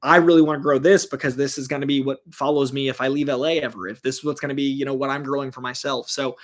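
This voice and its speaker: A man's voice: mid-range voice, fairly even intonation, fast talker. A man hosting a comics livestream, talking casually and rambling with lots of fillers as he thanks viewers. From born in Virginia, raised in Massachusetts. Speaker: host of a comics news livestream.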